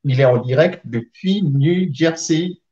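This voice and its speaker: A man's voice: on the high side, warm tone. Hesitant man on dull audio. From Gabon. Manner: hesitant, many drawn-out fillers, formal introductions. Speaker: co-host. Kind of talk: formal live interview hosting.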